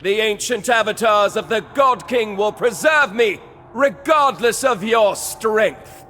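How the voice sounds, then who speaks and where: An actor playing a furious, haughty holy warrior of a god-king in a video game, shouting his lines in a declamatory way. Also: high-pitched, expressive, slow pace, full character voice. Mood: furious, defiant. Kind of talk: video game cutscene acting. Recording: long reverberant hall, music behind.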